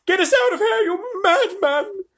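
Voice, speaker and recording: A man's voice: very high-pitched, big pitch swings, slow, voice pushed up, full character voice. A man voicing a panicky old grandmother who screams in terror at every danger. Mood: terrified shrieking. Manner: screeching, hysterical.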